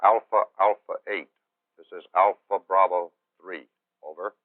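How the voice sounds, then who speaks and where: A man's voice: low-pitched, fairly even intonation, unhurried, no room sound. A man playing a radio operator, speaking in clipped radio procedure. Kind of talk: scripted radio call. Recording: tinny old film soundtrack.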